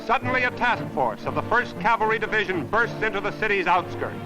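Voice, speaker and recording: A man's voice: sits high, animated, fairly quick. An American man narrating a 1940s newsreel as commentator, in a dramatic, emphatic, declamatory style with clipped phrases. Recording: noisy 1940s newsreel soundtrack. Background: music, artillery fire and battle sounds.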